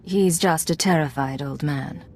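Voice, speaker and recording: An actress playing a composed, assured female secret agent in a video game, measured and professional. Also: sits low, a little mellow, faint music behind. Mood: calm.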